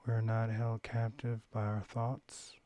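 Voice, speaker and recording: A man leading a guided meditation, giving gentle, unhurried instructions with long pauses between phrases. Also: on the low side, monotone, dry recording. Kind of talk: guided body-scan meditation, calm instruction.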